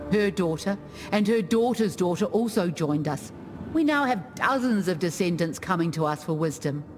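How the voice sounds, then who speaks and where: A woman playing a proud, wise ancestral chieftain in a video game, speaking in measured, dignified storytelling. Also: medium pitch, natural voice. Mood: calm, wise. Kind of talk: scripted game character monologue.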